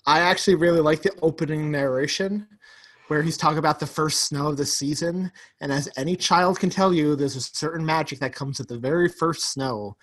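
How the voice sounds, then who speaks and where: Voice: mid-range voice, brisk, a bit bright. A podcast co-host chatting casually and enthusiastically about films. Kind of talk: casual film discussion with quoted lines.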